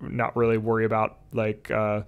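A man's voice: on the low side, normal speed, smooth, narrow, tinny audio. Chatty young man on a headset. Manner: relaxed, chatty, many fillers. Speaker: podcast guest. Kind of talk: casual chat. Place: home room, indoors. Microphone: headset with video call.

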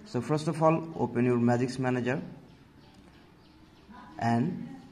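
A man's voice: mid-range voice, unhurried, darkish tone. A man hosting a tech tutorial, narrating casually step by step and often addressing viewers as guys. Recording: noticeable background noise.

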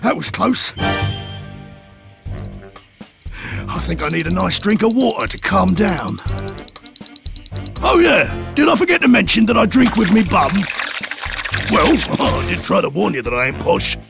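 A cheeky cartoon woodlouse talks in broad Cockney, matey and unrefined. The voice is creaky and pitched high, bouncing around animatedly over background music. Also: male voice, very animated, moderate pace, exaggerated voice. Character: cheeky, uncouth, soft-hearted male woodlouse. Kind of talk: children's cartoon character narration.

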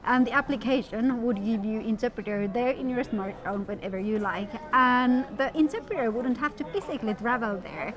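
A middle-aged woman from Finland, interpreting a guest's words in first person with steady, slightly formal explanation. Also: a little high, fairly quick, hardly any pauses, rough recording. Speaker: sign language interpreter voicing the guest. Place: outdoor courtyard at a busy event. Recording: outdoor event with crowd noise. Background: crowd chatter.

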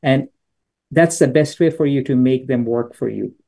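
A middle-aged man from Bangladesh teaches through stories on a video call. His voice is warm and mellow, sitting at a middle pitch. He pauses and restarts phrases as he thinks aloud. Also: vocal fry. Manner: thoughtful, explains with anecdotes, frequent restarts. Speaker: business school professor. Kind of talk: answering questions in an online lecture.

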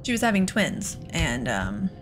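A woman's voice: sits low, big pitch swings, measured pace, over music. A woman giving casual let's play commentary on a video game, rambling and thinking aloud as she goes.

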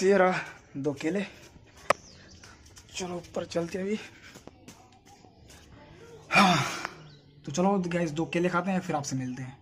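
High-pitched young man vlogging. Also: bright, forceful tone. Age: young adult. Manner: informal, addresses viewers as friends. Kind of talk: casual vlog chat to camera. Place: in and around a home, indoors and outdoors. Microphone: phone at arm's length. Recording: noisy handheld phone recording.

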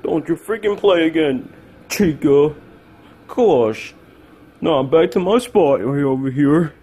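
A man's voice: a little high, animated, slow pace, mellow, breathy edge, exaggerated voice. A man playing an exasperated, nagging household disciplinarian in an amateur improvised comedy skit, repeating himself as he scolds. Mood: scolding.

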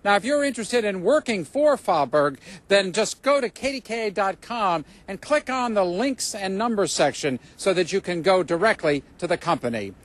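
A middle-aged man reporting live as a TV news reporter, in a measured newscaster delivery. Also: high-pitched, animated.

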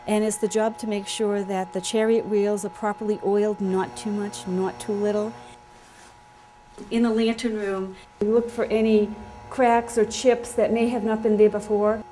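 Woman, middle-aged, Boston accent, lighthouse keeper, mellow tone, plain-spoken, faint background noise. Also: medium pitch. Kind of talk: reflective documentary interview.

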